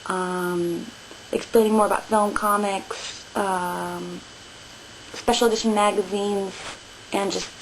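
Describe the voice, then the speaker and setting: A woman's voice: medium pitch, fairly even intonation, takes it slowly, slight background noise, poor audio. A woman in her twenties talking casually to camera in a small room, rambling with many fillers and restarts. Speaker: vlogger. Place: small bedroom in front of a bookshelf.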